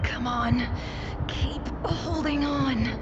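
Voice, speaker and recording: An actress playing a composed, defiant young sleuth in a video game, cool, measured and confident. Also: female voice, high-pitched. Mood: coolly defiant. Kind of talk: video game battle lines. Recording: muffled audio under loud music.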